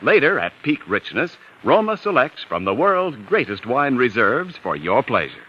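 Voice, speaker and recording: An American man announcing and pitching a sponsor in polished 1940s radio style, like a practised salesman. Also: huge pitch swings, normal speed. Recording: dull, noisy 1940s radio recording.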